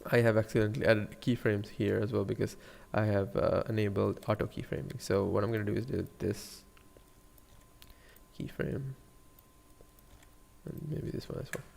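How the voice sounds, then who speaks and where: A man with a Sri Lankan accent giving a casual software tutorial, thinking aloud in a hesitant murmur as he works. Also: fairly low pitch, steady tone, moderate pace, vocal fry, pauses often, long reverb tail. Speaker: 3D animation tutorial streamer.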